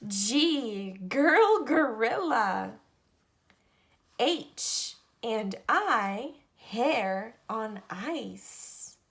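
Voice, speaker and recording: A woman reading a picture book aloud to children and teaching the alphabet, with deliberate pauses between phrases. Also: fairly high pitch, very animated, vocal fry, a bit bright. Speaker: read-aloud storyteller for children.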